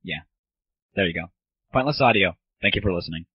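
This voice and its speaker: A man's voice: sits low, lively intonation, a little fast, slightly rough, no room sound. A man hosting a comedy audio show, ranting casually in a sarcastic, rambling, profane way. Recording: muffled lo-fi 1990s internet audio. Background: music.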